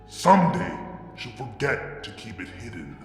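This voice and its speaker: A man's voice: medium pitch, sing-song, soft background music. Brooding man narrating in an echoey room. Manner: brooding, deliberate, with dramatic drops in loudness. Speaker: fanfiction reader. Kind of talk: dramatic first-person story narration. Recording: reverberant room with long echo.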